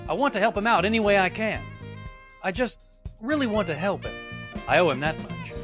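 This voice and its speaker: A man's voice: high voice, expressive, fairly quick, over music. A man acting a nervous, earnest young rookie defense lawyer in a game fandub, a little hesitant. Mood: awkward, earnest.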